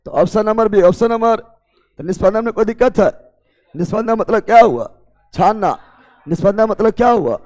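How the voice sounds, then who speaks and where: A man leads a loud exam coaching class. He drills his students with call-and-response questions and pushes them to hurry. His voice sits high and rings with long reverb in a classroom. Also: lively intonation, fairly quick, long pauses. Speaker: teacher.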